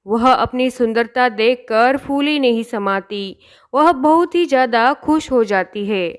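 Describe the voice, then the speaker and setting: A woman's voice: a little high, slow pace, smooth. A woman reading a scripted fairy tale as the narrator of a children's story.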